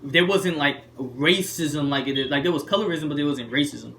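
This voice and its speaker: A man's voice: on the high side, normal speed, fairly bright tone. A young man from Haiti co-hosting a podcast, chatting casually over a card game and rambling with lots of fillers. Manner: rambling, many fillers like "what's it called". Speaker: podcast co-host. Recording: roomy room with faint background noise.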